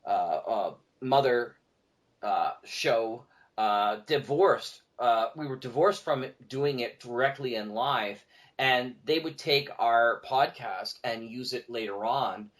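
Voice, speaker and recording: A man's voice: mid-range voice, takes it slowly, a bit bright. A man speaking as a podcast guest, telling stories casually in a discursive conversational way. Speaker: podcast guest, audio drama producer.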